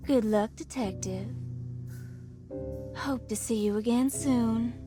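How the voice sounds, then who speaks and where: A woman's voice: a little high, takes it slowly, over music. An actress playing a composed, courteous lady in a gothic horror game. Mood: cordial. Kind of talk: cinematic game dialogue acting.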